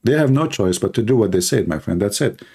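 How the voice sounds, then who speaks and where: A man's voice: fairly low pitch, lively intonation, fast, darkish tone, breathy edge. A man from the Middle East, a native Arabic speaker with an Arabic accent, talking informally and bluntly as he hosts a live stream. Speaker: live stream host, religious apologist.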